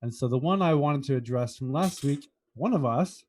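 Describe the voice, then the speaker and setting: A man's voice: mid-range voice, animated, moderate pace, a little mellow. An American man co-hosting a podcast in casual chat, with a wry, teasing manner. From United States. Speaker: podcast co-host.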